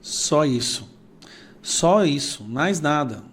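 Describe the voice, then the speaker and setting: A man's voice: fairly high pitch, lively intonation, slow pace, slight background noise. A man giving outraged political commentary, speaking emphatically and directly to the viewers. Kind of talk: indignant video commentary.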